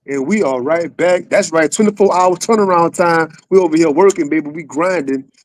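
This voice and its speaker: Bright-voiced casual male podcast host, higher pitch. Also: moderate pace. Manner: slangy, familiar address. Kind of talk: casual interview with upbeat hype intro.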